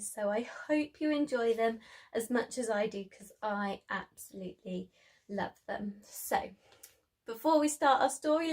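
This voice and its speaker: A woman presents story time for preschool children. Her voice sits fairly high and she takes her words very slowly, encouraging her little listeners as she goes. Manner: encouraging, addressing small children.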